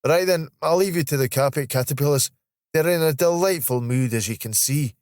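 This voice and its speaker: A man's voice: fairly high pitch, brisk, a little mellow, dry recording, studio quality, pitched above the performer's own voice, lightly acted. A man voicing a playful, teasing Scottish man in his thirties for a storybook.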